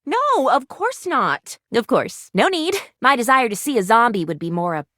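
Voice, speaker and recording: A woman's voice: a little high, sing-song, moderate pace, a bit bright, a little hiss or hum. A woman voicing an eccentric, eager medical student in a video game, with a precise, wordy, earnestly awkward way of talking. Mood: amused, musing. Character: eccentric, eager female medical student, a household object come to life. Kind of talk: animated video game character dialogue.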